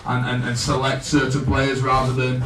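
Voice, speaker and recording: A man speaking as a coach at a fan evening, halting and repeating himself, with laughs at his own expense. He is far from the microphone in an echoey room, with noise behind him. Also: medium pitch, completely flat delivery. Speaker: assistant coach. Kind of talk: casual speech at a fan evening.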